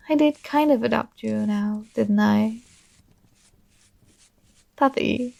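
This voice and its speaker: Slow, high-voiced possessive princess played by a woman. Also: vocal fry, dry recording, full character voice. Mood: playful, doting. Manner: softly coaxing, doting, gently commanding. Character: possessive, tender yandere dream princess with magic powers. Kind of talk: ASMR roleplay, soothing intimate monologue.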